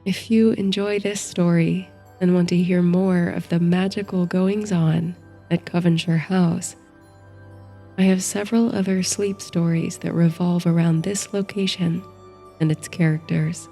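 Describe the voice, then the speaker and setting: A woman narrates a bedtime story with a mellow, relaxed tone and a slightly low voice. Her delivery is gentle and very unhurried, guiding the listener toward sleep. The pitch stays very even, with music underneath.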